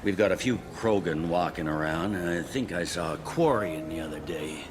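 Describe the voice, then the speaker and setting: An actor playing a frank human security captain in a video game, talking in a plain, matter-of-fact way. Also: male voice, low voice, moderate pace, background noise, lightly acted.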